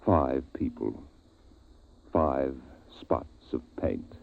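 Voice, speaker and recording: A man narrating a story in solemn, theatrical old radio drama style with deliberate phrasing. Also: rumbling low, creaky. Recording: tinny vintage radio recording, music behind.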